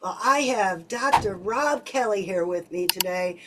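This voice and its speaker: A woman's voice: medium pitch, lively intonation, measured pace, far from the mic, noisy background. A woman in her fifties hosting a podcast interview, speaking in an upbeat, enthusiastic way. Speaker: podcast host. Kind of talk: casual interview chat.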